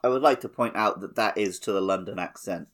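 A man's voice: on the low side, brisk, a bit bright. A man co-hosting a casual gaming podcast, chatting in a playful, teasing way. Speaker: podcast co-host.

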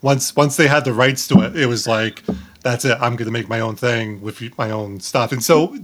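Halting male podcast host, mid-range voice. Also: medium pitch, a bit bright. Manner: halting, stammering word repetitions. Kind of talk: casual interview chat.

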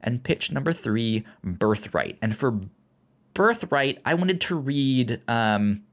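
Male podcast host, medium pitch, casual and conversational, with a halting, stop-start delivery. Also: animated. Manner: halting, often repeats word beginnings.

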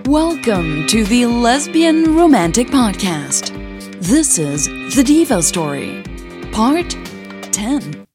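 A woman's voice: fairly high pitch, sing-song, slow pace, music in the background. A woman hosting and narrating a scripted fiction podcast in a storytelling style.

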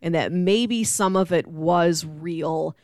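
A woman's voice: fairly low pitch, takes it slowly, darkish tone, smooth, no echo. A woman hosting a casual pop culture discussion, chatting like an enthusiastic storyteller. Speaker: podcast host.

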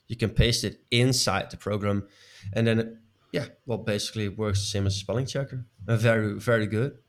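A man with a lowish voice talks in a relaxed, chatty way. English is not his first language, and he pauses often, restarting sentences and filling gaps with "uh.". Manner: hesitant, many fillers and restarts. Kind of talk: casual chat.

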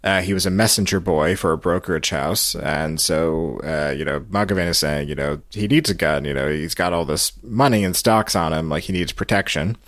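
A man's voice: deep voice, normal speed, hardly any pauses, a bit bright, no room sound, studio quality. A man hosting a podcast, recapping a story in a conversational way. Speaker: podcast host. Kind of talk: conversational recap of a radio show.

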